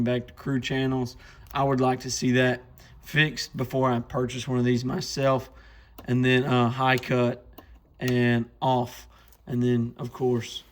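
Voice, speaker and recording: A man hosting a casual product walkthrough, talking in a plainspoken, informal way. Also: mid-range voice. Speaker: radio channel host.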